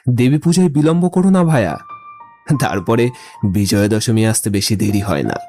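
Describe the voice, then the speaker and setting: Witty romantic young gentleman played by a male actor, eloquent and theatrical, audio drama with music behind. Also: fairly low pitch, animated, moderate pace, close to an everyday voice. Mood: teasing, declamatory. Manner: playful, wordy, theatrical.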